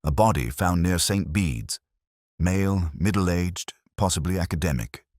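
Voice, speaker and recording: A man playing a weary, melancholy older police detective, narrating an audio drama in a measured, reflective, literary way. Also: deep voice, a little mellow, dry recording, studio quality. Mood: brooding, reflective.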